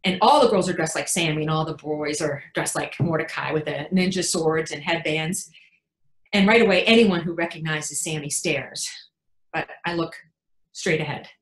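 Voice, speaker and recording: A woman playing an anxious, protective mother in a frank, wry, confiding dramatic monologue, pausing for emphasis. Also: low voice, natural voice. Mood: earnest, anxious. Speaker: actor in a readers theatre performance. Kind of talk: dramatic stage monologue, conversational storytelling. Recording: echoey room sound.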